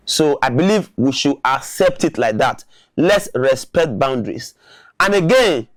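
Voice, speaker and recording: A man's voice: on the high side, dry recording. Emphatic man in a dry room. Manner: emphatic, argumentative, repeats words. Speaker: online commentator. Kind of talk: opinion commentary to camera.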